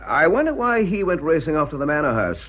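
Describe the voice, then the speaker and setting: A husky middle-aged English TV personality for a radio play, pompous and courtly with a quick temper. The delivery is brisk, grand and swooping, on a slightly dull recording. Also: male voice, on the high side, big pitch swings. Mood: peevish. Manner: theatrical, grandiloquent, formally courteous. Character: pompous middle-aged male television presenter, courtly but irascible. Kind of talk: radio drama acting.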